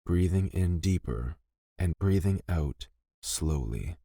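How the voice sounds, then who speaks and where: Male meditation guide, low-pitched, gentle and unhurried delivery of scripted lines, very dry close sound. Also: lively intonation, gravelly, husky, no echo. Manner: gentle, soothing, deliberate with pauses between phrases.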